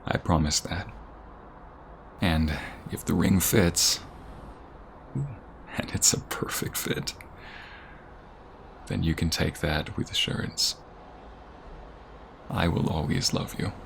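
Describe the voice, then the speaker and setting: Man acting a very low-voiced, tender boyfriend. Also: slow pace, long pauses, a little hiss or hum, heavily acted. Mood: devoted, happy. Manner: intimate, earnest, often hushed and breathy. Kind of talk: ASMR romantic boyfriend roleplay.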